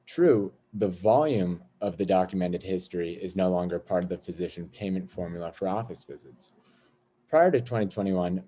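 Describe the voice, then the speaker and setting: Casting: man with a lowish, warm voice, prepared explainer read, slight background noise. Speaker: panelist. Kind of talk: scripted explanation. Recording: clearly noisy background.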